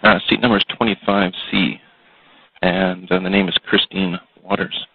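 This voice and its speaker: A male air traffic controller speaks sincerely over the radio. His voice is husky and fairly low with little rise and fall, and the link is tinny and dry. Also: flat delivery, moderate pace, no echo. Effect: aviation radio. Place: airport control tower.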